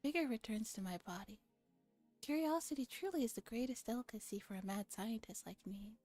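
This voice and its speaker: Grandiose young-sounding mad scientist read by a woman, theatrical game narration, mellow tone, faint music behind. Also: fairly high pitch, fairly quick, natural voice. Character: self-styled male mad scientist narrating his thoughts, grandiose. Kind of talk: visual novel read-aloud.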